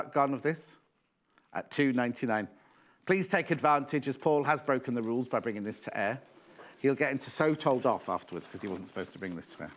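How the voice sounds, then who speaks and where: A man's voice: medium pitch, fairly bright tone. A middle-aged man presenting a live shopping show from a TV craft studio, chatty and jokey, rambling between banter and sales patter. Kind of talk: live sales demo chat.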